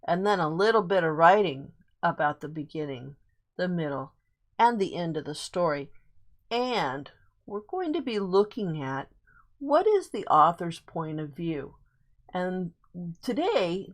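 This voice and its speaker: A female teacher leads a reading lesson for young learners. She speaks very slowly in a slightly low voice, keeping explanations simple and repeating the key points. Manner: simple explanations with much repetition. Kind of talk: guided reading lesson for children.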